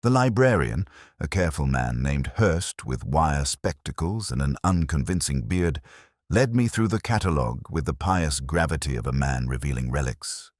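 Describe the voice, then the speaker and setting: Really deep male voice, dry close recording, pensive detective reading out his mystery story, pitch moves around a lot. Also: lively intonation, moderate pace, dry recording. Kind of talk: scripted first-person literary mystery narration.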